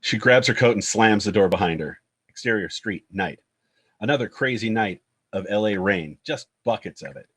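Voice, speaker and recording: A man's voice: lowish voice, expressive, normal speed, fairly bright tone, breathy edge. A man narrating screenplay stage directions at a table read.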